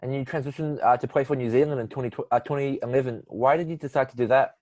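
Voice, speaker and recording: A man's voice: medium pitch, steady tone, fast talker, a little mellow. A man hosting a podcast interview, asking plain questions and giving brief encouraging backchannels. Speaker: podcast host.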